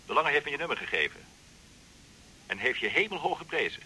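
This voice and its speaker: A teasing, husky-voiced man. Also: medium pitch, a little fast, exaggerated voice, low-quality recording. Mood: friendly. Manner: teasing, lively. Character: man, playful lover and doctor. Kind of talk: 1980s Dutch radio drama.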